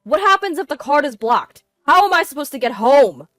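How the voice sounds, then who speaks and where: A woman voicing a blustering, desperate cheating husband who stammers loudly in a dramatized story. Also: fairly high pitch, expressive, unhurried, no echo, pitched above the performer's own voice. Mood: desperate pleading. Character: panicky, blustering cheating husband, adult man.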